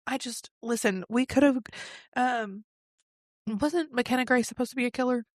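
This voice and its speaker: A woman co-hosting a podcast in casual movie chat, hoarse and losing her voice, quick with playful agreements. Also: on the high side, no echo, studio quality. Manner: short eager agreements, playful. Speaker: podcast co-host.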